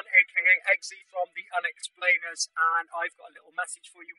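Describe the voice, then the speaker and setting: High-pitched man through a robotic vocoder. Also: sharp tone, no room sound, low-quality recording. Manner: jokey, mock-dramatic. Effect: vocoder, synthetic robotic filter. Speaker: podcast host. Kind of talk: scripted promotional announcement.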